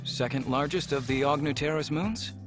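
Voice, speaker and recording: A man voicing a polite, curious, soft-spoken male alien passenger in a visual novel game. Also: a little high, moderate pace, close to an everyday voice. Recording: game music over the voice. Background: video game music.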